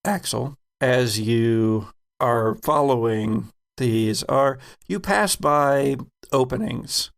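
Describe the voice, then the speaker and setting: Male game master, unhurried and gravelly, descriptive horror sci-fi storytelling. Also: mid-range voice.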